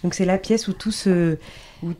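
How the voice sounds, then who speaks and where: A woman interviewer with a lowish, mellow voice. She puts polite, curious questions to her guest. Her tone stays soft and relaxed. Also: normal speed.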